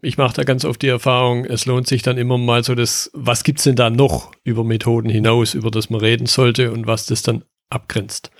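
A man's voice: mid-range voice, level tone, fairly quick, vocal fry, few pauses, no room sound, clean studio sound. A man hosting a podcast, reading a formal intro and asking interview questions in a polished, friendly way. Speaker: podcast host.

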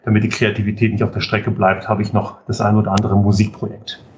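A man's voice: fairly low pitch, level tone, quick pace, muffled recording, slight background noise. A middle-aged German man, a guest on a podcast, explaining his ideas thoughtfully with frequent fillers. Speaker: guest, economic physicist and consultant.

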